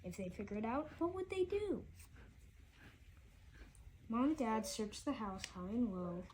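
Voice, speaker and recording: A woman with a fairly high voice reads a rhyming story for children. She trips over words and goes back to repeat them. Noticeable noise runs behind her reading. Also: animated, a little mellow. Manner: halting reading, often stumbling and repeating words. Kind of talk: read-aloud rhyming children's storybook narration.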